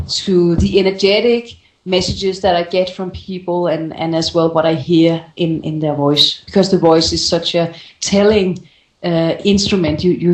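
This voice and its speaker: A woman's voice: on the low side, darkish tone. A woman speaking as a voice coach on a call-in show, explaining conversationally and often rephrasing as she goes. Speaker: voice coach answering callers' questions. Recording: roomy, echoing sound.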